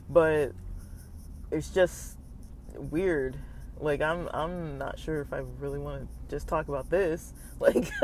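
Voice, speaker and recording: Young guy rambling on his vlog, kinda high voice, bit scratchy throat, faint hum behind. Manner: rambling, hesitant, many fillers. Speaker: vlogger. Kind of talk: casual personal vlog.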